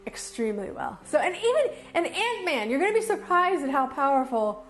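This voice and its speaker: A woman's voice: high-pitched, big pitch swings, brisk, slight background noise, rough recording. A woman reviewing casually and off the cuff as a video host, enthusiastic and emphatic, often repeating phrases to drive them home. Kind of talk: casual unscripted review to camera.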